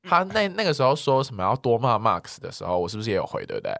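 A man's voice: mid-range voice, big pitch swings, rapid-fire, gravelly, fairly bright tone, dry recording, studio quality. A man with a Taiwanese accent chatting casually as a podcast co-host, with a teasing, colloquial manner. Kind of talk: casual chat.

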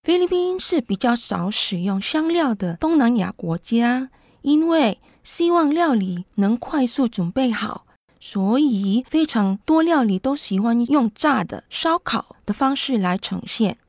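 Kindly higher-voiced mother. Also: animated, unhurried, darkish tone, dry recording, clean studio sound. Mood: patient, friendly. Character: kindly Filipina mother, patient, explaining home cooking. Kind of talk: children's educational radio drama.

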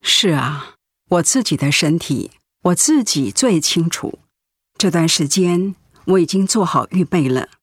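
Husky, gentle ailing mother. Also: lowish voice, animated, unhurried, no room sound, studio quality. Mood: firm, earnest. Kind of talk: Mandarin radio drama acting.

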